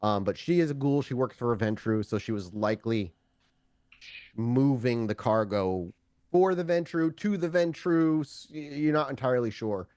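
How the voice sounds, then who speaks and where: A man narrating a tabletop roleplaying game as storyteller, improvising in a conversational, halting way and often correcting himself. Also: medium pitch, expressive, darkish tone, no room sound.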